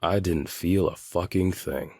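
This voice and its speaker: A man playing a guarded seventeen-year-old boy in a full-cast audiobook, speaking in a deliberate, matter-of-fact way. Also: deep voice, steady tone, vocal fry, darkish tone, dry recording, studio quality, full character voice. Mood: somber, controlled. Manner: deliberate, matter-of-fact, swears now and then.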